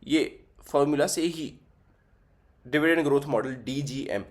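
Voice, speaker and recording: A man's voice: fairly high pitch, measured pace. A young man teaching a finance class, working through the numbers aloud and repeating figures as he goes, switching between Hindi and English. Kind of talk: recorded finance lesson.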